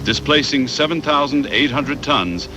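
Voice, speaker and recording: A man reads scripted documentary narration in a measured, factual way. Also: medium pitch, expressive, over music.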